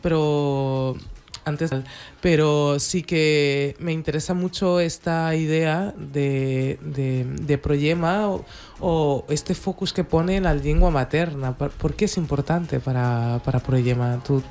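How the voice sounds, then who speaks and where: A man's voice: fairly high pitch, fairly even intonation, slow, darkish tone, clear, resonant tone. A man whose first language is Portuguese, hosting a radio interview and speaking in a reflective way, often voicing agreement. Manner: reflective, with frequent back-channel agreement.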